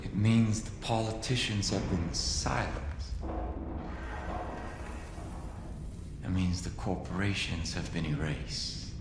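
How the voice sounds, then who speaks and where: Game villain: menacing doomsday preacher, hushed, creaky intensity, echoing and noisy backdrop. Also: male voice, low-pitched, unhurried. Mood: grave triumph. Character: calm fanatical male doomsday cult leader, vindicated and menacing. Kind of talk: dramatic video game cutscene monologue. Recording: roomy reverberant sound with background noise.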